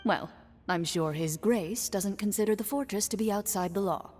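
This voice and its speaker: A woman's voice: medium pitch, moderate pace, darkish tone, soft background music, close to an everyday voice. A woman playing a composed, dryly witty official in a game, speaking politely and wryly. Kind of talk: game voice acting. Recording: long reverb, faint background noise.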